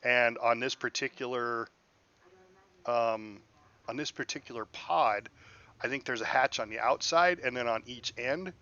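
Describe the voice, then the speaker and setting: A man's voice: medium pitch, measured pace, bright, forceful tone, lo-fi sound. A man streaming a space game, chatting casually with his chat, joking and laughing often. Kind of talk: casual live gameplay commentary.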